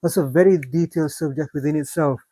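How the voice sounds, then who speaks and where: Mellow, patient language teacher. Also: mid-range voice, quick pace, no room sound. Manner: careful, spelling out letter sounds one by one; unscripted with fillers and restarts. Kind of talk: beginner lesson on script and vowel marks.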